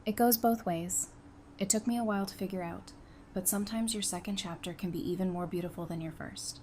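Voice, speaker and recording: A woman's voice: medium pitch, fairly quick, a little mellow, a little hiss or hum, close to an everyday voice. A woman playing a level-headed, supportive, teasing wife and mother in an audio drama, speaking frankly and conversationally. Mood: reassuring.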